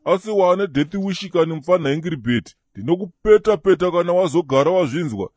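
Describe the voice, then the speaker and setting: Comedy cartoon gravedigger: Zimbabwean, slangy show-off, highish voice, quick delivery. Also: male voice, lively intonation, darkish tone, slightly rough, no room sound, studio quality. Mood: irritated, boastful. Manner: bragging, slangy. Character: boastful gravedigger posing as a flashy big spender.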